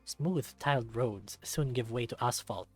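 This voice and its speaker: A man reading a visual novel aloud as an expressive narrator. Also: medium pitch, unhurried, faint music behind.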